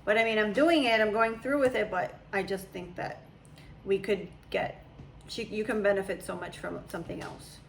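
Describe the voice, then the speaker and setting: A woman's voice: medium pitch, moderate pace, creaky, slight background noise, rough recording. A young woman, a mother, chatting casually and rambling as she explains her view. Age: young adult. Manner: chatty, rambling, laughs often. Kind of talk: casual chat.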